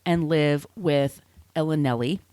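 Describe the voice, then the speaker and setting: A woman's voice: sits low, a little slow, dark tone, clean tone, no echo. A woman hosting a podcast, telling literary history conversationally in an informal, explanatory way with asides. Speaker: podcast host.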